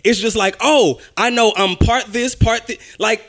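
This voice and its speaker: A young man with a notably high voice argues his point with energy. He is emphatic and animated, and jumps in over the others in a casual podcast debate. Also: unhurried. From United States. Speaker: podcast co-host.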